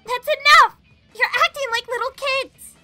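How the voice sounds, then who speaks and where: A woman's voice: extremely high pitch, unhurried, bright, forceful tone, soft background music, heavily acted. A woman voicing a feisty, lovestruck young hedgehog girl in a cartoon comic dub, emotive and full of exclamation. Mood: alarmed. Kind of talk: fan comic dub acting.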